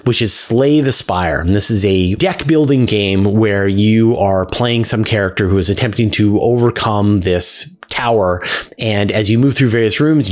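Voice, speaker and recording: A man with a fairly low voice talks casually as the host of a Q&A show. He explains things in a conversational, expressive manner, with an enthusiastic delivery. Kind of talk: casual explanatory talk to camera.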